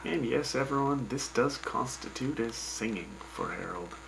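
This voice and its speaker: A wry radio host, played by a performer, speaks in a gravelly, bright voice. The host teases the cohosts in a dry way. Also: medium pitch, expressive, normal speed, slight background noise, rough recording. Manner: wry, teasing. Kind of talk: fan radio drama.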